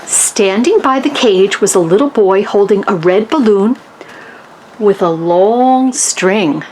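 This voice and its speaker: A woman reading a children's storybook aloud in an expressive way, chatting to the characters and listeners as she goes. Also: medium pitch, slow, a little hiss or hum, rough recording. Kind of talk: animated read-aloud for young children.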